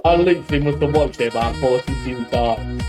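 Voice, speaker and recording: A man's voice: medium pitch, a little fast, over loud music. A young man co-hosting a podcast in casual chat, teasing his co-host and laughing often.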